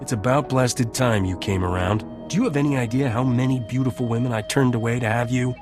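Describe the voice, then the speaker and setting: An actor playing a cocky, flirtatious smuggler captain in a video game, swaggering and glib with a teasing delivery. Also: male voice, medium pitch, brisk, music in the background, close to an everyday voice. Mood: teasing, smitten. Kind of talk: scripted video game dialogue.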